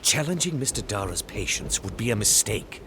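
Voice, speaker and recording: A man's voice: on the low side, very animated, normal speed. A man playing a stern, impatient agent of a rich buyer in an audio drama, formal and insistent. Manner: formal, insistent, veiled threat. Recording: loud storm noise behind.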